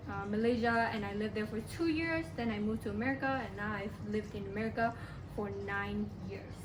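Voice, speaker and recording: Young woman from Burma, echoey distant mic. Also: a little high. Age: young adult. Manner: halting, with frequent pauses and restarts. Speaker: college student presenter. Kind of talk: class presentation. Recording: echoey room, distant mic, background noise.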